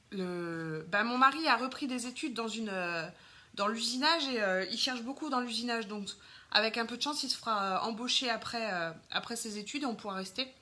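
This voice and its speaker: A woman's voice: medium pitch, pushed and bright. A woman from France in her thirties with a French accent, chatting casually on a makeup live stream and greeting viewers. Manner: chatty, lots of fillers, greets viewers while doing makeup.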